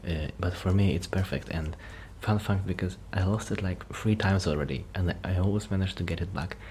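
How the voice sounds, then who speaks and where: Male, low-voiced, soft ASMR delivery bordering on a whisper; shy, halting roleplay ramble, slightly noisy recording. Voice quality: soft, breathy, often near a whisper. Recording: noticeable background noise.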